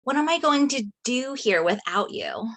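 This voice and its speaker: A woman's voice: high-pitched, expressive, moderate pace, a bit bright. A woman at a script table read playing an earnest, emotional teenage best friend.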